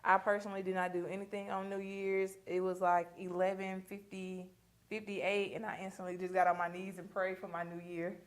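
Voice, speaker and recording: A woman's voice: mid-range voice, level tone, fairly quick. A woman, a makeup artist chatting casually and explaining her steps as she works in a beauty studio. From Mississippi. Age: 20s. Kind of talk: casual chat while working.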